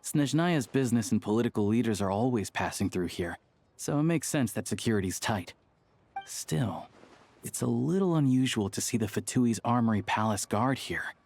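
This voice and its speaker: A man's voice: medium pitch, expressive, moderate pace, darkish tone, a little hiss or hum, natural voice. An actor playing an easygoing, knowledgeable local man in a video game, talking in a casual, conversational way. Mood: relaxed, friendly. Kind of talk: video game character dialogue.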